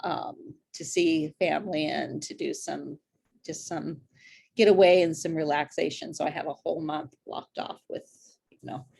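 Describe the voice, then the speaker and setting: An American woman from Idaho talking casually as an author in an interview, in a halting, rambling way. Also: deep voice, vocal fry, darkish tone, slightly rough. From Idaho, United States.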